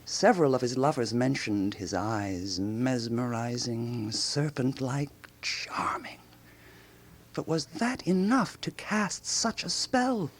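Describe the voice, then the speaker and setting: Radio drama: ruminative female amateur sleuth, theorizing aloud, very animated intonation, deliberate dramatic pauses. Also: very deep voice, exaggerated voice. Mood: theatrical pondering.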